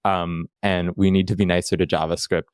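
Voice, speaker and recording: Low-voiced man from Canada, rambling and joking. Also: flat delivery, a little fast, clean tone, dry recording, studio quality. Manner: rambling, self-deprecating jokes. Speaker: podcast guest. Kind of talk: casual podcast chat.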